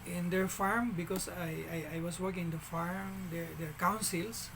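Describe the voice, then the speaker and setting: A man with a Filipino accent telling a story in a casual vlog, halting, with frequent restarts and fillers. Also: sits high, steady tone, noisy background. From Philippines.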